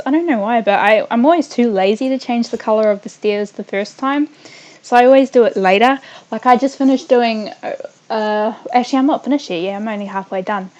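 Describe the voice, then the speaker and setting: A young woman with a mild New Zealand accent talks casually through a home gaming stream. Her voice sits a touch high, and she wanders between thoughts, leaves sentences unfinished, and fills gaps with hums and little noises. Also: dull audio. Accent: New Zealand, slightly softened by Australia. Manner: rambling, scattered, trails off mid-sentence; hums and makes small random noises while working. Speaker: gaming livestreamer. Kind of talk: casual livestream chat while building in a game.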